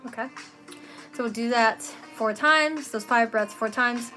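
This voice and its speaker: A young woman from Philadelphia talks in a slightly raised voice, her Philly accent plain as she cheerfully walks a live class through breathing counts. She laughs easily and keeps the tone chatty. Music plays clearly behind her. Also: a little high, unhurried, bright, forceful tone, low-quality recording. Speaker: meditation and breathwork teacher. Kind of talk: live guided breathwork instruction. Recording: music playing under the voice.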